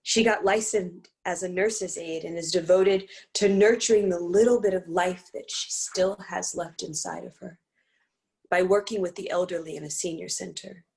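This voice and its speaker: A woman narrates a stage script as a storyteller. Her voice sits a little low with plenty of creak, and her delivery is measured and dramatic. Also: fairly even intonation, darkish tone, rough recording.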